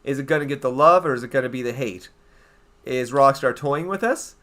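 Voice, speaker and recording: A man's voice: mid-range voice, fairly quick, fairly bright tone. A young man from eastern Canada with a Canadian accent, chatting casually and rambling on his game livestream as he answers his viewers. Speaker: game streamer.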